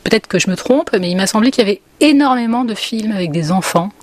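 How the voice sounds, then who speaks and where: A woman interviewer asking measured, prepared questions in a radio interview. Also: mid-range voice, expressive, no echo.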